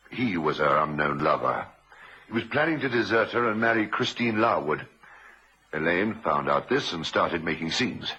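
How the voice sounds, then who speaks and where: A man's voice: deep voice, sharp tone, breathy edge, full character voice. An actor playing a composed doctor in an old-time radio drama, a man explaining things in a matter-of-fact way. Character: composed male doctor calmly revealing the killer. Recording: muffled vintage radio recording.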